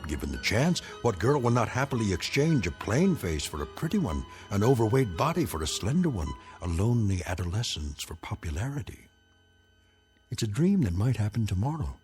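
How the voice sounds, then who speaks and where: Eerie radio drama narrator, low voice, expressive swings, measured, portentous, knowing. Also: male voice, soft background music. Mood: grave, portentous. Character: grave, knowing male narrator of eerie tales.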